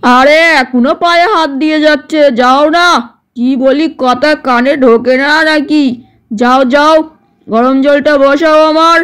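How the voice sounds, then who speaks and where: Woman putting on a high-pitched grumpy old grandpa. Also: unhurried. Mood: irritated, bossy. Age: older. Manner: scolding, barking orders. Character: grumpy, bossy old father-in-law doll, a grandfather. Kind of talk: puppet doll cartoon acting.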